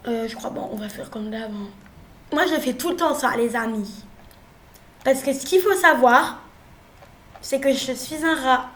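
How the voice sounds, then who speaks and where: A young woman with a cold, chatting playfully through gameplay commentary, jumping from loud exclamations to quiet mumbles. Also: sits high, measured pace, pauses often, reverberant. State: has a cold. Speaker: gaming youtuber.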